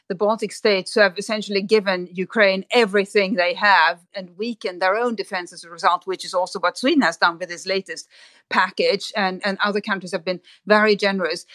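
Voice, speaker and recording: A woman gives expert commentary in a discussion, choosing words carefully with pauses and repetitions. Her voice is mid-pitched, and the recording is dry, with little room sound. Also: a bit bright, no room sound. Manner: hesitant, deliberate phrasing with repeated words. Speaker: guest analyst. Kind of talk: spoken analysis in a discussion.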